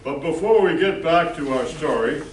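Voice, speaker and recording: A man's voice: a little high, expressive, normal speed. A man hosting and narrating a scripted radio play. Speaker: narrator and host. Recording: echoey room, distant mic, hiss.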